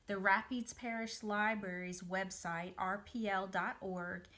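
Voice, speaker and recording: A woman's voice: medium pitch, a bit bright. A woman in her forties narrating a children's book indoors as an educator. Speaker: museum educator, reader. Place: small room by a window, indoors.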